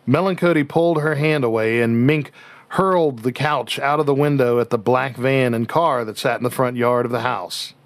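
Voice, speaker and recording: A man's voice: mid-range voice, moderate pace, dry recording, natural voice. A man reading scripted story narration for a radio drama.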